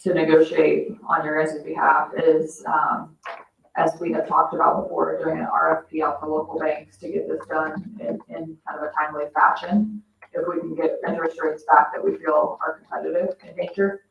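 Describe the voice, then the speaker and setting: A woman's voice: deep voice, little pitch movement, normal speed, vocal fry. A woman explaining matters in a businesslike way as a financial advisor at a public meeting. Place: indoor municipal meeting room. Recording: echoey meeting room, distant mic, dull, faint noise.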